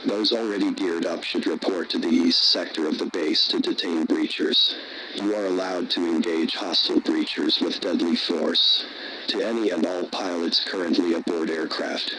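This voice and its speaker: A man's voice: sits low, steady tone, moderate pace, sharp tone, a little hiss or hum, rough recording. A man playing a commanding military base announcer, giving formal, authoritative emergency orders from a script. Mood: urgent, commanding.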